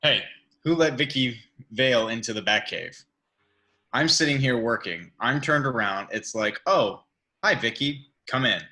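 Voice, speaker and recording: A man's voice: medium pitch, expressive, a little slow, sharp tone. A man playing a brooding billionaire vigilante in a script table read, musing in an offhand, dry way. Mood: wry musing.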